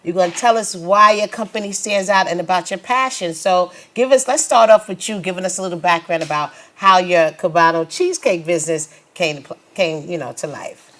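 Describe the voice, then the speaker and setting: A woman's voice: lowish voice, fairly quick, fairly bright tone. A woman hosting a promotional interview show, speaking in a chatty way and addressing people directly. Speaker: TV show host.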